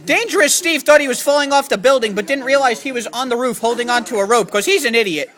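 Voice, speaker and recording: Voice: mid-range voice, quick pace, fairly bright tone. A person improvising an absurd, profane comic story in a mock storybook narration.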